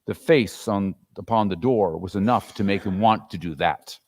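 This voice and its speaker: A man narrating a classic story as a dramatic reading, with expressive, theatrical delivery. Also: lowish voice, moderate pace. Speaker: narrator and performer. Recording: reverberant hall.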